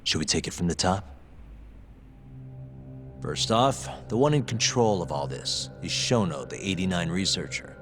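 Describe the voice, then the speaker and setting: A man's voice: sits low, lively intonation, normal speed, long pauses. An actor playing a tough, cool and sardonic investigator in a video game cutscene, confident and taunting as he presses for answers. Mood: cool interrogation. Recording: dramatic music behind the voice. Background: background music.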